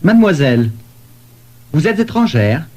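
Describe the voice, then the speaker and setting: A man playing a polite questioner in a scripted language-lesson dialogue, articulating deliberately. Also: on the high side, sing-song, dark tone. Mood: polite, inquiring.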